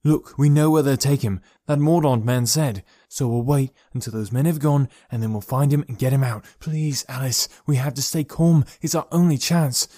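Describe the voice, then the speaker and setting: Man voicing an urgent, higher-pitched young boy. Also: normal speed, hardly any pauses, dark tone, dry recording, studio quality, natural voice. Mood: urgent, reassuring. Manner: urgent, earnest. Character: frightened, protective young boy fleeing villains.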